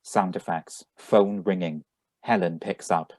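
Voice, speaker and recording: A man reading a radio drama over a video call, both as a brisk grown son and as the announcer of sound effects. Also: deep voice, fairly even intonation, a little mellow, no echo, studio quality. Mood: flat announcing. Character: brisk, mildly exasperated grown son at breakfast.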